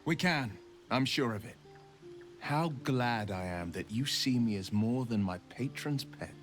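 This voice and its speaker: Noble young fantasy game warlock male actor, solemn and eloquent, mid-pitched voice, music underneath. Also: lively intonation, measured pace, natural voice. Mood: resolute. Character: horned, pact-bound warlock hero, noble, earnest young man. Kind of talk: dramatic video game acting.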